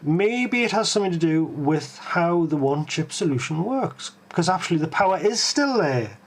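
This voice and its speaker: A Geordie man with a voice sitting a little above the usual male range. He hosts a live stream and talks his thoughts through, often pausing on ums and restarting sentences. His delivery is unscripted and conversational. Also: a little high, sing-song. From Tyneside, England. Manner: hesitant, full of ums and restarts, musing aloud. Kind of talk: live tech stream, thinking aloud and answering chat.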